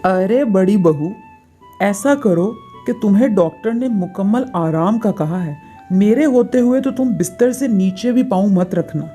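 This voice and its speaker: A bossy older auntie in a family storybook tale, acted by a woman. She orders and finds fault in a soft-edged, mid-pitched voice, with music underneath. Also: lively intonation, measured pace, natural voice. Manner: commanding, complaining. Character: bossy, demanding, fault-finding older visiting aunt.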